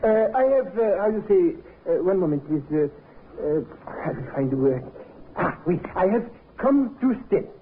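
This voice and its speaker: An actor playing an excitable, amorous French inventor who halts and hunts for English words, in 1950s radio drama style. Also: male voice, high-pitched, a little hiss or hum. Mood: hesitant, courtly. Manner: halting, hunting for English words. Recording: tinny, narrow old radio recording.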